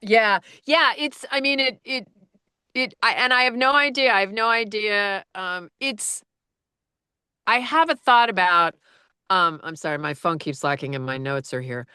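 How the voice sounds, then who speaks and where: A woman audiobook narrator with a low-register voice, chatting casually and rambling with lots of fillers and restarts. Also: unhurried, sharp tone, clean tone, no echo, studio quality. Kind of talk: casual conversation.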